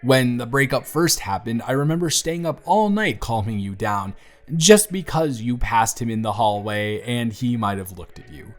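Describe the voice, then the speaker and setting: A man acting out an earnest young guy in love with his best friend, in an intimate audio drama. He talks haltingly and conversationally at a medium pitch, with music playing softly behind him. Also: close to an everyday voice. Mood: concerned. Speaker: audio roleplay performer. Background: background music.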